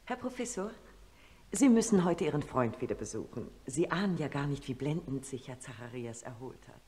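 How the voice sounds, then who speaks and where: Keen respectful nurse, soft dark voice, big echo, radio play. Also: female voice, animated, brisk. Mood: eager. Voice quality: low, mellow. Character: eager female ward nurse, respectful and amazed. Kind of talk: German radio play acting. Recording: long reverberant hall sound.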